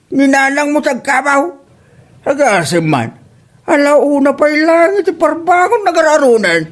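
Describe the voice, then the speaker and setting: A man's voice: very high-pitched, a little slow, heavily acted. A man playing a grumbling, defensive older father and husband in a comedy drama, with an Ilocano accent and a complaining manner. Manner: complaining, excitable. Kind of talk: radio-style comedy drama acting.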